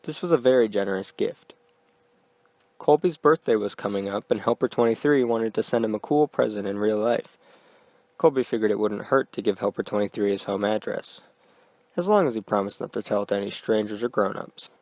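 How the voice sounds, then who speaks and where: Man, horror storyteller, quiet deliberate delivery, very dry close sound. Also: fairly low pitch, dry recording. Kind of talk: scripted horror narration.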